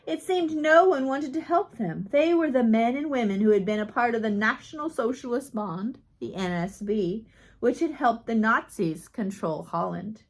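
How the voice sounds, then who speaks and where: Higher-voiced woman reading aloud. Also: lively intonation, normal speed. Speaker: audiobook reader. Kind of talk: scripted narration of a biography read aloud.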